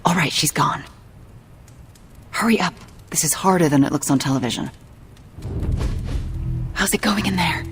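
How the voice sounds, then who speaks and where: An actress playing an earnest, nervous young superheroine in a video game cutscene, hesitant and awkward when trying to bluff. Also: female voice, on the low side, moderate pace, long pauses, fairly bright tone, soft background music, lightly acted. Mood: flustered.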